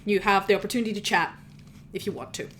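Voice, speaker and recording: A woman's voice: medium pitch, fairly even intonation, fast, fairly bright tone, slight background noise. A woman whose first language is Russian, narrating as game master in a rambling, chatty way, often correcting herself. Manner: chatty, rambling, self-correcting, laughs at own slips.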